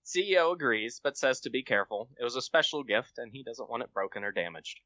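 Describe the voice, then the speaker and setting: A man's voice: medium pitch, expressive, fast talker, pushed and bright, no echo, highs cut off. A man on a podcast reading his own comic short story aloud. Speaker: podcast guest, amateur writer.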